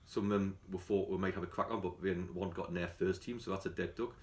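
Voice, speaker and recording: A man with a London accent talks at a brisk clip in a fairly low voice. He sounds like a football manager at a casual catch-up, chatty and colloquial, telling things the way he would to mates. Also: fairly even intonation, a little mellow. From London, England. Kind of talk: casual press catch-up interview.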